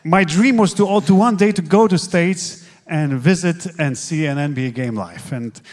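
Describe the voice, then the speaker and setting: Polish man telling his story, halting. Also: a little high, a little mellow. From Poland. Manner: earnest, halting, many fillers. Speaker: guest pastor. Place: church stage, seated in armchair. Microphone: handheld mic.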